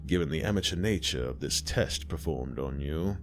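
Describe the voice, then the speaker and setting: A man's voice: rumbling low, expressive, normal speed, soft background music. A man voicing a masked, hooded man who speaks formally with cold contempt.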